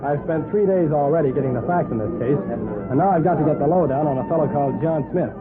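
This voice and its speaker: An actor playing a brash, eager newspaper reporter with breezy slang, in 1940s radio drama style. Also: male voice, a little high, expressive, fast, over loud music, close to an everyday voice. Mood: excited, conspiratorial. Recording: noisy, phone-like 1940s radio recording.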